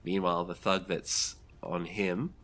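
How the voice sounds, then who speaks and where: Low-voiced game master narrating the action. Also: steady tone, a little slow, fairly bright tone, soft background music. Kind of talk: narrating a tabletop role-playing game.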